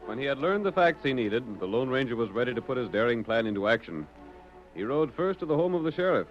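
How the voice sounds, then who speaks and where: A man's voice: mid-range voice, sing-song, fast, faint music behind. A man reading scripted narration for an old-time radio drama. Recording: dull lo-fi old radio recording.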